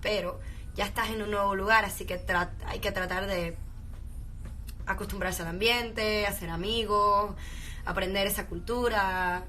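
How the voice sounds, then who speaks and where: A woman's voice: mid-range voice, steady tone, unhurried, pushed and bright, a little hiss or hum. A young woman from Venezuela with a Cuban Spanish accent, chatting casually with frequent laughs, fillers and restarts. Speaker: actress answering fan questions. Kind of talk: casual chat, switching between Spanish and English.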